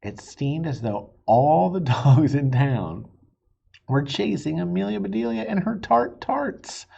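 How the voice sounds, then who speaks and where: Animated husky male storybook reader. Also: on the high side, slow, muffled recording. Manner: playful, expressive, chuckles while reading. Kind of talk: animated children's story reading.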